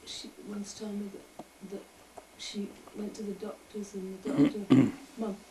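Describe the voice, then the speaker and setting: A woman's voice: mid-range voice, measured pace, noisy background. Woman in an echoey room, coaxing questions. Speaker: family interviewer. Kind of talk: casual family interview.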